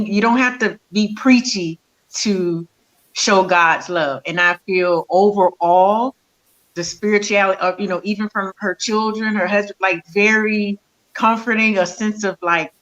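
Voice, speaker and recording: A woman cast member speaking as a guest on a talk show, halting and hesitant with many fillers. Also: mid-range voice, steady tone, a bit bright, muffled recording. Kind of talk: casual interview answer.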